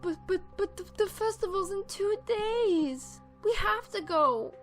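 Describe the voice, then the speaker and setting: A woman voicing an anxious, stubborn little girl pony in an animated cartoon drama, youthful and protesting. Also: very high voice, unhurried, faint music behind. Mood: pleading.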